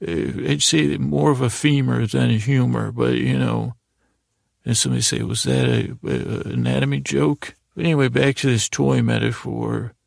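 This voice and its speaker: A man hosting a bedtime podcast in a gravelly voice, with a meandering, halting, self-deprecating monologue. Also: mid-range voice, no room sound. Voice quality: very creaky, gravelly. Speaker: podcast host.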